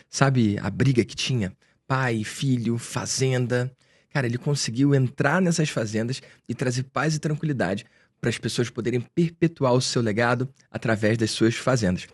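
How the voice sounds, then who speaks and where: A man's voice: mid-range voice, a little mellow, dry recording. A Brazilian man hosting a podcast, introducing his guest in an enthusiastic, slangy, friendly way. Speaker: podcast host. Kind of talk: casual podcast interview.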